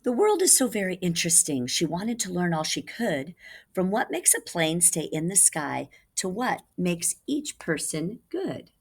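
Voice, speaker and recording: A woman reads a storybook aloud to young children in a low-pitched voice. Her delivery is very animated, rising and dipping widely, with dramatic stress on key words in the manner of a reading teacher. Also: unhurried, breathy edge. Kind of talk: expressive children's read-aloud.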